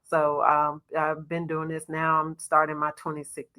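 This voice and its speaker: A middle-aged woman from Arkansas, a basketball coach, telling stories in a relaxed podcast interview with a soft voice and lots of "you know". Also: deep voice, moderate pace. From Little Rock, Arkansas. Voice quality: soft-spoken. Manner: storytelling, warm laughs, frequent "you know". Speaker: associate head basketball coach, guest.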